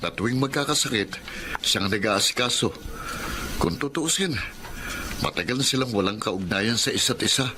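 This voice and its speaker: A man's voice: lowish voice, animated, normal speed, pauses often, background noise, lightly acted. Albert, a man playing a blunt, resentful son. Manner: blunt, dismissive. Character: grown son, practical, resentful market vendor.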